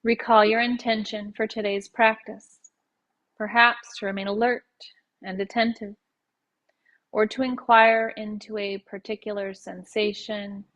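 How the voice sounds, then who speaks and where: A woman leading a guided yoga nidra meditation in a soothing, unhurried way, letting her phrases end softly. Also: medium pitch, level tone, muffled recording.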